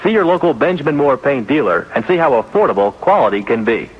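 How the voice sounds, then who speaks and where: An American man with a husky voice reading a scripted radio advertisement. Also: medium pitch, sing-song, quick pace. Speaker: commercial announcer. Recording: dull old radio commercial.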